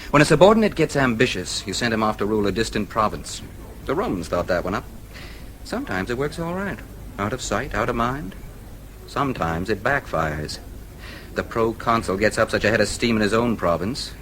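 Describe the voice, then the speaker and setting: Casting: worldly, musing man, low voice, wry explainer, radio drama, faint background noise. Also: expressive, a little fast, fairly bright tone, rough recording. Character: worldly, wry man fond of political history.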